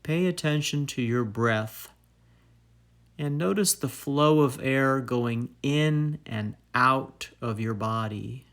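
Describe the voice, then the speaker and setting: Man, guided meditation narrator, extremely unhurried, calm, soothing, deliberate, long pauses, medium pitch. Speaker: meditation guide and fitness trainer.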